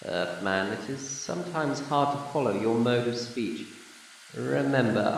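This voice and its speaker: A man playing an ancient, formal alien planet designer in an amateur audio drama, speaking in measured, courteous, old-fashioned phrases. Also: mid-range voice, gravelly. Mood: grave, explaining. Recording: echoey room, distant mic, faint noise.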